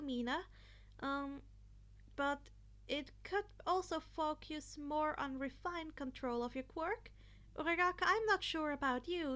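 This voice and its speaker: An earnest teenage boy student as a woman reader acts him out, with a high and bright voice. He rambles analytically and stumbles over his words as he reasons things through in a fan fiction audiobook. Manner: hesitant, stammering, thinking aloud.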